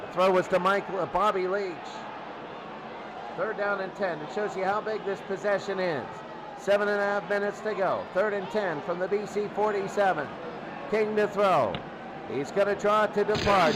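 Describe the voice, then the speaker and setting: A man doing live play-by-play football commentary in short, clipped phrases, often repeating his calls. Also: high-pitched. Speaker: play-by-play sports announcer. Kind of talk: excited live American football radio commentary. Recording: loud crowd roar and heavy noise.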